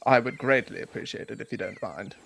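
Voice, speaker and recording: A man playing a polite, stranded gentleman traveller who stammers and hesitates, with many fillers and formal phrasing. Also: mid-range voice, a little fast, creaky, slightly rough. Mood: polite, flustered. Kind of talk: role-play in a western game.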